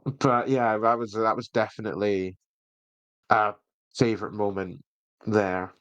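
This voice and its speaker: A man's voice: fairly low pitch, steady tone, a little slow, a bit bright, clear, resonant tone, no echo, lo-fi sound. A man hosting a podcast, chatting casually and enthusiastically through a review with hesitant phrasing. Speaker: podcast host reviewing audio drama.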